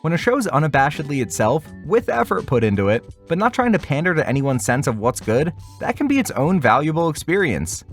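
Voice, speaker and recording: A man's voice: on the high side, big pitch swings, fairly quick, hardly any pauses. Guy doing a youtube style review, voice goes up and down a lot, kinda wry, bit of music under him. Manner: wry, opinionated, informal slang asides. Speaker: anime reviewer, online video host. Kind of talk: scripted video essay review with casual asides.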